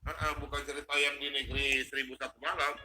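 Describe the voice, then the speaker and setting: A man's voice: mid-range voice, flat delivery, a little fast, pushed and bright. Man hosting, noisy audio. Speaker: host of an online discussion room. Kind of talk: casual live religious chat. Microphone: online group call. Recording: clearly noisy online call audio.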